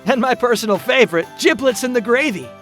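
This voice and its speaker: A woman's voice: a little high, expressive, quick pace, faint music behind, natural voice. A woman playing a cheery, salesy makeover-show host.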